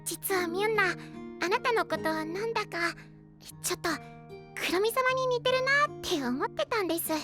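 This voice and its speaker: Very high-pitched earnest little boy knight. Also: animated, moderate pace. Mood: cheerful. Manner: polite, eager, hesitant, easily flustered. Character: small, earnest, polite, childlike boy fairy knight. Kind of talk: Japanese fantasy audio drama acting. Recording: voice over background music.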